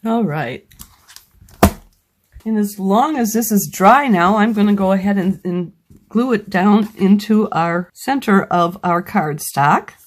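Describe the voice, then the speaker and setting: A woman's voice: medium pitch, expressive, takes it slowly. A woman with a slightly scratchy voice from a cold, patiently explaining each step of a crafting tutorial as a craft demonstrator.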